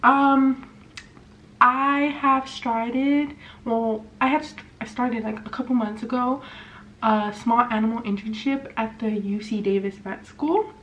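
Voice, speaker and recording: A young woman in her twenties from California talks in a loose, rambling way, full of fillers and restarts, as she does her makeup. Her voice sits a little high. The sound is slightly dull, with a faint hiss underneath. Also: steady tone, slow, fairly bright tone. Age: 20s. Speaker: vlogger. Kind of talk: casual chat while doing makeup. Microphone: camera mic.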